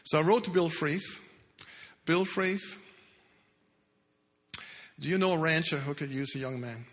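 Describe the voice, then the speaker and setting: A man in his sixties, not a native English speaker, telling his life story earnestly as a testimony in church, with halting restarts. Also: on the high side, fairly bright tone. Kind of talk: personal testimony talk. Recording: echoey hall with long reverb.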